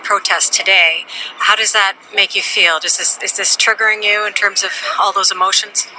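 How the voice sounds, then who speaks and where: A woman's voice: medium pitch, fairly even intonation, moderate pace. Woman reporter asking questions outside, noisy street recording. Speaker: radio reporter and interviewer. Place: outdoors on a city street. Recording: clear street noise, somewhat off mic.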